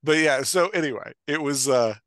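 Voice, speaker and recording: A man's voice: fairly high pitch, moderate pace, fairly bright tone, dry recording, clean studio sound. A man in his forties hosting a podcast, chatting casually in a jokey, self-deprecating way into a headset mic. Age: 40s. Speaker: podcast host. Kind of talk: casual unscripted podcast banter. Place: indoor room, on a video call.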